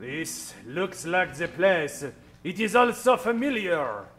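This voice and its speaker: An actor playing an earnest, self-absorbed amnesiac adventurer in a spoof radio serial, dramatically talking to himself. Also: male voice, high-pitched, very animated, takes it slowly, fairly bright tone, exaggerated voice. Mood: brooding, dramatic. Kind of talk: old-time radio serial spoof acting.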